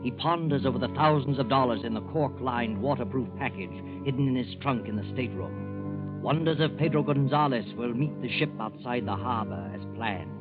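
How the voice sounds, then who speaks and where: A man's voice: mid-range voice, moderate pace, lightly acted. An actor playing a grave male narrator, telling a suspense tale in dramatic 1940s radio style. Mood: grave, suspenseful. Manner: dramatic, ominous present-tense storytelling. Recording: muffled 1940s radio recording, loud music behind.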